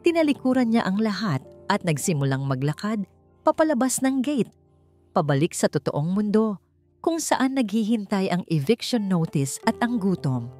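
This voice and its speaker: A woman narrating a scripted audiobook love story in a measured, expressive storytelling manner. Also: mid-range voice, faint music behind.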